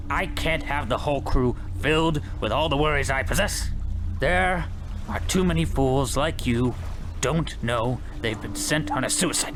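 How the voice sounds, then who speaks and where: An actor playing a stern, weary navy captain in wartime, grave and brooding, snapping out orders. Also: male voice, medium pitch, background noise, natural voice. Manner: grave, brooding, barks commands. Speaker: ship captain. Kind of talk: amateur radio drama. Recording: music underneath.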